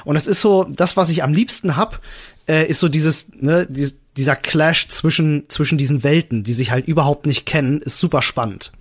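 A man's voice: on the high side, moderate pace. A man co-hosting a podcast in casual chat, explaining things with enthusiasm. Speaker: podcast co-host.

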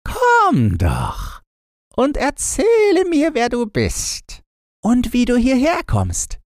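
A man's voice: extremely high pitch, wildly swooping pitch, slow pace, no echo, studio quality, voice pushed up, exaggerated voice. A man voicing a kindly old sorceress, a doting old woman with a tender, cooing manner, in a fairy-tale audiobook. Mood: coaxing.

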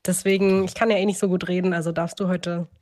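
A woman's voice: fairly low pitch, little pitch movement, fast, a little mellow. Woman co-hosting a casual podcast. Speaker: podcast co-host.

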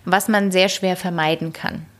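A woman linguist answering interview questions in a thoughtful, hedging way, with soft laughs between answers. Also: fairly low pitch, fairly even intonation, moderate pace. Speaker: linguist guest. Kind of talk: conversational interview.

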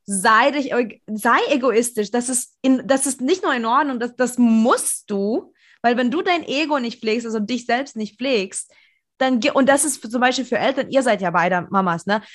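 Woman coach co-hosting, slightly high voice, emphatic advising tone. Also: moderate pace. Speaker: podcast co-host, coach. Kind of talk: casual conversational interview.

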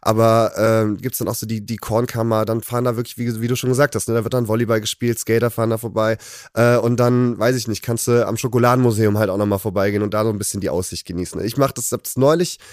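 Relaxed man hosting with many fillers. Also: fairly low pitch, fast, no room sound, clean studio sound. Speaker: podcast host. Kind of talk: casual chat.

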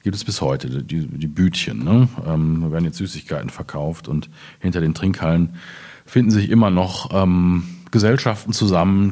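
A man from Germany's Ruhr region, a poet at a literary reading, speaking in a husky, deliberate, measured way. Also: low voice, dark tone. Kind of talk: poetry reading with informal commentary.

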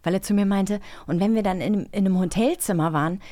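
A woman's voice: medium pitch, quick pace, warm tone. A woman co-hosting a podcast in a casual, conversational style. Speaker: podcast co-host.

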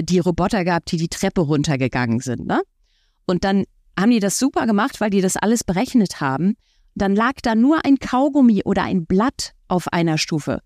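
A woman with a mid-pitched voice talks as an expert guest on a podcast. She explains things conversationally, reaching for everyday examples. The recording is dry and close. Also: a little mellow, no room sound.

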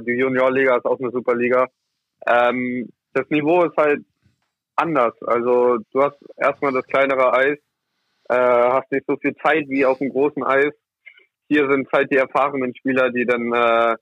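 A man's voice: mid-range voice, dry recording. Young man, dry muffled recording. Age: young adult. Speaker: ice hockey player, interviewed guest. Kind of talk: casual interview answers. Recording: muffled, dull lo-fi audio.

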